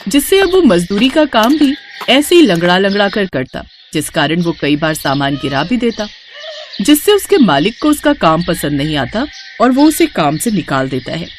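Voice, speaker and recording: A woman's voice: medium pitch, very animated, normal speed, slight background noise. A woman reading a scripted moral story as a storyteller. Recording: music under the voice. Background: background music.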